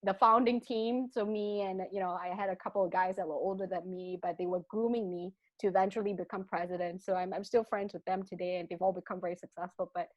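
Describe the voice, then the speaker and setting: A woman's voice: medium pitch, fast, few pauses, no room sound. A woman from Malaysia whose accent mixes British and Malaysian sounds. She talks animatedly, often restarting her sentences. The sound is dull and lo-fi, and dry. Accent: mixed British and Malaysian. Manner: animated, frequent restarts, laughs between thoughts. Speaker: guest. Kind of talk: casual interview talk.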